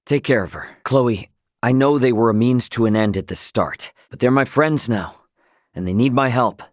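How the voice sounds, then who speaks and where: A man voicing a brash, loyal young male captain in a video game, talking in an informal, earnest, expressive way. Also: medium pitch, moderate pace, no room sound, clean studio sound, natural voice. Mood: easygoing, determined.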